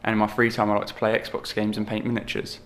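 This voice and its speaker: Brief: 21-year-old man, low and flat-toned, slow testimony with plenty of fillers. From Portsmouth, England. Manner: slow, measured, with many fillers. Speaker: young film participant. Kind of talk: personal testimony for a short film.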